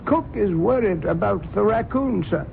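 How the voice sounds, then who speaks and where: A formal, deferential butler reports to his master. His voice is high and gravelly. The broadcast sounds thin and phone-like, with faint hiss behind. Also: expressive, moderate pace, heavily acted. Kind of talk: 1970s radio drama acting.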